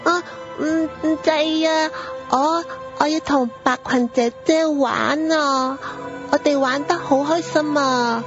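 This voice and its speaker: A pleading little girl in a radio drama, high and childlike, with a Hong Kong accent. She whines slowly and haltingly over clear background music in a muffled recording. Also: steady tone. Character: playful, pleading little girl, eager to keep playing. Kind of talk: Cantonese radio drama acting. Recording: dull lo-fi audio under music.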